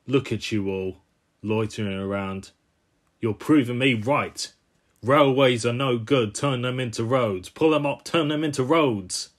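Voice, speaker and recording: A man's voice: medium pitch, unhurried, natural voice. A bullying steamroller from a children's cartoon, put on by a man. He blusters and boasts, picking fights with obsessive, combative self-importance. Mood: belligerent. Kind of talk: amateur cartoon character voice reel.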